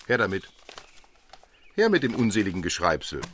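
An actor playing a grumbling, ironic literary gentleman in a radio drama, speaking with wry, theatrical emphasis. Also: on the low side, wildly swooping pitch, normal speed, a little hiss or hum. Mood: mock indignation.

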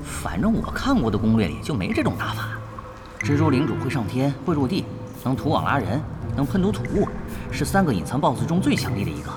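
An actor playing a sulky, combative young gamer who grumbles at teammates in an audio drama. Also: male voice, medium pitch, huge pitch swings, brisk, music in the background. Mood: challenging. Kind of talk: Chinese audio drama acting.

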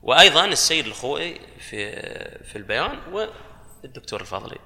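A man, a guest on a panel, explaining his points with loud bursts of emphasis. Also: medium pitch, very animated, quick pace, vocal fry. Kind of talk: panel discussion. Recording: reverberant hall sound.

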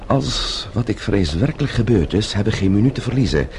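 A man's voice: lowish voice, expressive, brisk, a little mellow. A man acting an anxious, earnest younger man in a Dutch radio drama, speaking with urgency. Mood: agitated, urgent. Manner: urgent, agitated. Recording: old radio drama with background noise.

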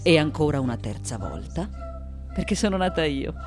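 A woman's voice: low-pitched, big pitch swings, normal speed. Intense, wounded old woman sculptor, music behind. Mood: wounded, resentful. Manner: intense, theatrical, swinging from hushed to loud. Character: elderly, wounded, defiant, vengeful woman sculptor. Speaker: actress in a radio play. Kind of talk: dramatic radio theatre monologue.

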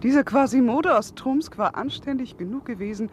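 An actress playing a worldly, sharp-tongued woman in a German radio drama, speaking in a pointed, theatrical way. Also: a little high, brisk, a little hiss or hum, natural voice. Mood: mocking, pointed.

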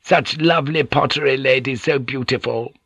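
A man's voice: medium pitch, moderate pace, creaky, breathy edge, dry recording, pitched above the performer's own voice. A man playing a shrewd, deferential Japanese businesswoman in an audiobook, courteous and insistent. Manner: flattering, insistent, humbly courteous.

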